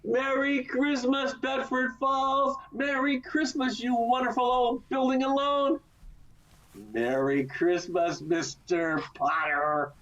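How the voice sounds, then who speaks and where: A woman's voice: sits high, flat delivery, takes it slowly, a bit bright. A woman playing an emotional young family man in an amateur radio play, recorded over a video call. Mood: overjoyed. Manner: emotional, exclamatory. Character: George, desperate, then joyful young family man. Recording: muffled video-call audio.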